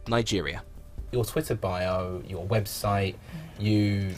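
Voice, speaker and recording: A male reporter narrating a news feature and interviewing a guest in a clear, measured way. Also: lowish voice.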